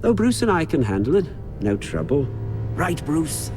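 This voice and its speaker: A man's voice: on the high side, sing-song, normal speed. An actor playing a smug, falsely polite businessman in a video game, taunting his rival with mock sympathy. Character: smug gloating male usurper businessman, mocking, falsely polite. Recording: loud music behind the voice.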